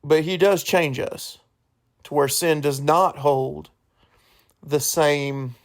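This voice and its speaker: A man hosting a solo podcast in an informal, earnest way, halting and correcting himself as he goes. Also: fairly high pitch. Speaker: podcast host.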